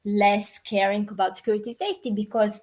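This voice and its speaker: A woman's voice: mid-range voice, steady tone, fairly quick, a little mellow. A woman from Bulgaria with a Bulgarian accent, answering interview questions informally, hedging and thinking aloud as she goes. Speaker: podcast guest, peace activist.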